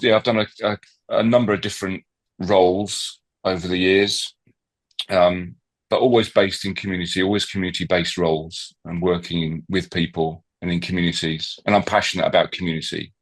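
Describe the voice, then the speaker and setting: A middle-aged man being interviewed on a podcast, talking casually with frequent ums, restarts and repeated words. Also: low-pitched. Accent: non-local, not from Swansea. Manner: hesitant, many fillers and restarts. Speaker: podcast guest, newspaper founder.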